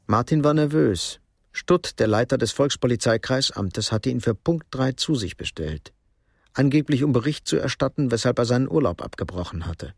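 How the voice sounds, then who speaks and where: An actor as the sober narrator of a crime radio drama, with a husky, creaky voice. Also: male voice, mid-range voice, expressive, normal speed, dry recording, clean studio sound. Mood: measured. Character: sober male narrator of a Cold War crime story.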